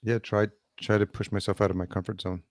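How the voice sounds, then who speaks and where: Deep, flat-voiced man. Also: dry recording, studio quality. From El Salvador, raised in Yonkers, New York. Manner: measured, hesitant with many ums and restarts. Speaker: podcast producer, guest. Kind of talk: casual interview chat. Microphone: remote video call.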